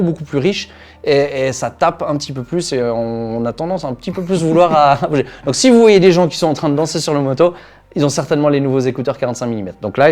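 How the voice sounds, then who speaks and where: A man's voice: mid-range voice, darkish tone. A man co-hosting a motorcycle channel, explaining a product in a casual, enthusiastic way. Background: background music.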